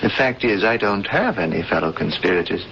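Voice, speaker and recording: A man's voice: lowish voice, expressive, fairly quick, vocal fry, noisy background. An actor playing a stoic male prisoner and lone rebel, resigned and earnest, in a 1970s radio drama. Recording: dull lo-fi old radio broadcast.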